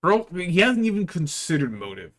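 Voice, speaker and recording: A man's voice: high voice, very animated, normal speed. A man livestreaming with slangy, playful, rambling casual chat to his viewers. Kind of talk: casual livestream chat, reading visual novel aloud.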